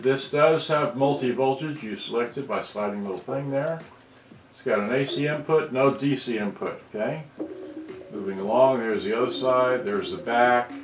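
A man giving a casual, off-the-cuff show-and-tell, rambling and muttering to himself as he goes. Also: medium pitch. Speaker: vintage electronics hobbyist presenter. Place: indoors in a room at a computer screen. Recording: roomy echo, distant mic, music behind.

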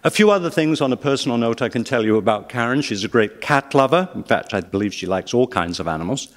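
An older man interviewing a guest onstage before an audience, speaking in a measured, gently wry way. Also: mid-range voice, lively intonation. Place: library event hall with audience. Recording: hall with long reverb.

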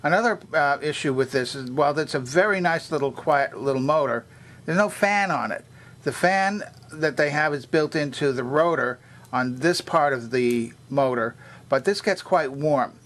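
Older male demonstrator, slightly high-pitched for a man, methodical how-to delivery. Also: moderate pace, fairly bright tone. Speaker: vintage electronics repairer and demonstrator. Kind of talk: explanatory hands-on product tour.

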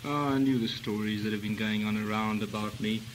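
An actor playing a brooding long-lost cousin in a comic radio melodrama, speaking in a grandiloquent, theatrical way. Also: male voice, on the low side, steady tone, a little fast, a little hiss or hum, lightly acted, low-quality recording. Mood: weary, pompous.

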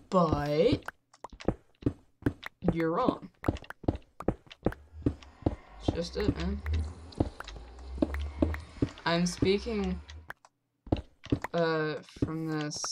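Young woman gamer, low voice, slow absurd rambling, heavy background noise. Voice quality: fairly low voice. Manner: absurd deadpan rambling, sudden loud outbursts. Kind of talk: rambling joking commentary while playing.

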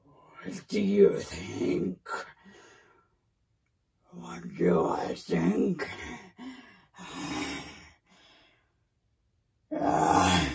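A woman voicing a big, stubborn, baffled forest monster in an improvised story, with a growling, whispery rasp and a ponderous manner. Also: rumbling low, darkish tone, full character voice. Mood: stubborn, puzzled. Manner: ponderous, drawn-out, menacing. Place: small plain room indoors.

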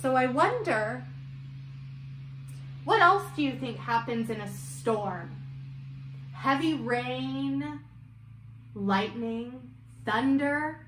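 A young woman reading and discussing a picture book for small children, in an expressive, questioning teacher's manner. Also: high voice, extremely slow, pauses often, a little hiss or hum. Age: young adult. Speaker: children's story-time teacher. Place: room at home, indoors. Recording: roomy echo, music underneath.

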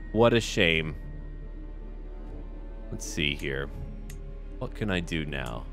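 A man giving casual commentary over a strategy game, talking to himself in short remarks and reading bits aloud. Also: low voice. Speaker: gaming video host. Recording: music behind the voice. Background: background music.

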